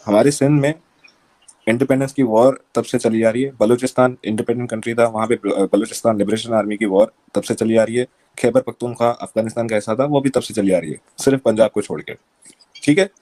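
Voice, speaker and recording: A man from Pakistan making his point in an online debate, measured and insisting on orderly turns. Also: medium pitch, fairly even intonation, dark tone, no echo. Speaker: debate panelist.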